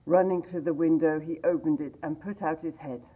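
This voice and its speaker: A woman reading a classic story aloud in a measured, expressive way. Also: deep voice, slightly rough. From England. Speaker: library reader. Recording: tinny phone-like sound.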